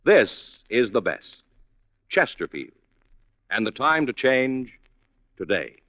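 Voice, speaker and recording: An American man reading a 1950s radio commercial with emphatic, measured salesman delivery. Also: mid-range voice, sing-song, no echo. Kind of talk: 1950s cigarette ad read. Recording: dry, dull 1950s radio recording.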